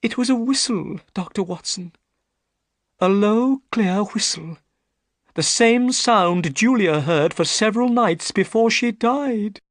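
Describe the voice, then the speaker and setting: A man's voice: high voice, animated, slow pace, no room sound, clean studio sound, voice pushed up. A man voicing a frightened young woman who pleads for help, with earnest, breathless delivery. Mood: anxious. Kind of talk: audiobook character reading.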